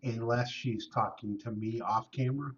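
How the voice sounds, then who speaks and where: A man's voice: medium pitch, steady tone, normal speed. A man co-hosting a whiskey tasting livestream, teasing his co-host and answering the chat. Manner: teasing, joking. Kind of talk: casual banter with chat and co-host. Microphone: overhead microphone above the table. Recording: distant, dull overhead mic.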